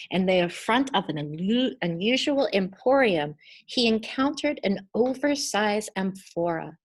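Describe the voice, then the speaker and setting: Female storybook reader, middle pitch, unhurried and deliberate, expressive delivery with dramatic word emphasis. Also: rough recording.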